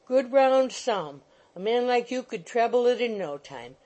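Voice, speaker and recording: Vrouw die een kleine, bedrijvige advocaat speelt, vleiend. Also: female voice, on the high side, animated, unhurried, warm tone, voice pushed up. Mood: wheedling. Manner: coaxing, repeats "my dear sir". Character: fussy, bustling little male attorney, ingratiating.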